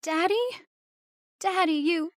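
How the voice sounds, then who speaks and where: A woman's voice: very high voice, steady tone, slow, dry recording, studio quality. A woman acting a frightened young daughter in an old-time radio horror drama. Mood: anxious.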